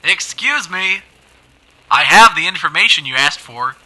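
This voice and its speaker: An actress playing a patient, polite city archives clerk answering the telephone in an old time radio drama. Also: female voice, fairly low pitch, expressive, unhurried, pushed and bright, exaggerated voice, rough recording. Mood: businesslike.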